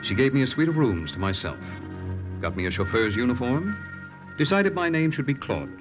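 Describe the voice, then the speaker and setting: An actor playing an earnest young American undercover lieutenant, with an American accent, in 1940s radio drama style. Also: male voice, medium pitch, big pitch swings, moderate pace, natural voice. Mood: narrating. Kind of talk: 1940s radio acting and first-person narration. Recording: vintage radio with music behind.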